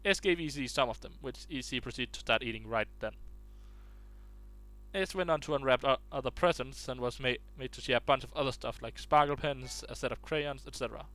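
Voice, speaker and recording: Casual male reader of online stories, mid pitch, stumbles over words now and then. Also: a little fast, a bit bright, low-quality recording. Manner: restarts and stumbles while reading aloud.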